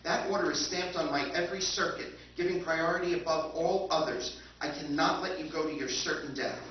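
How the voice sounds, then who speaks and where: A man's voice: on the high side, a little fast, close to an everyday voice. Echoey, solemn robot lifeboat, acted by a man. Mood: dutiful, firm. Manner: formal, pleading, ceremonious. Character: sentient lifeboat machine, female-addressed, dutiful, protective, mournfully solemn. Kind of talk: 1950s radio drama acting. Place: live convention stage performance. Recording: distant, echoey hall, dull, noisy live recording.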